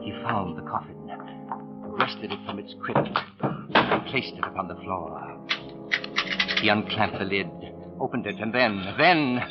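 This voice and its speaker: Suave male crime writer, low and theatrical, old radio mystery with music behind. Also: animated, muffled recording. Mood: ominous, suspenseful. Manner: theatrical, precise, savoring pauses and hesitations. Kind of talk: 1940s radio mystery acting.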